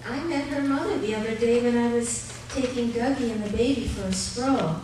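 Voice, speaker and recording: A woman playing a gentle, patient young mother in a live radio play, soothing and reassuring. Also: a little high, fairly quick, lightly acted. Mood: tender. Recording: echoey live stage recording, distant, noisy.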